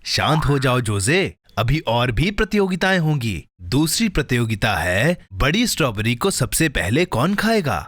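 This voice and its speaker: A man's voice: medium pitch, big pitch swings, moderate pace, few pauses, fairly bright tone, no room sound. A man voicing a friendly, upbeat companion in a children's cartoon, speaking encouragingly in simple phrases. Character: friendly, upbeat adult companion and contest announcer.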